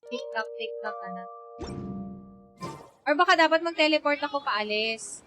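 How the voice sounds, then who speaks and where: A woman with a Filipino accent giving expressive, casual live game commentary, chatting to her viewers. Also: sits high, normal speed. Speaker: game streamer. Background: game music.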